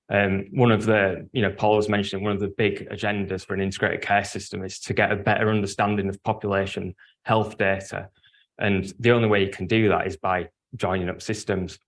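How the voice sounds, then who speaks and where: A man from Leeds with a Yorkshire accent, giving a conference talk in an earnest, explanatory way with frequent ums and restarts. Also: on the low side. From Leeds, England. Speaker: speaker at an industry event.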